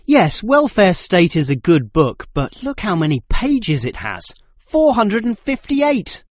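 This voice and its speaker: A man acting a critical, precise university student in a scripted exam dialogue, speaking deliberately. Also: high-pitched, huge pitch swings, dry recording, studio quality, heavily acted. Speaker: student in a listening test dialogue.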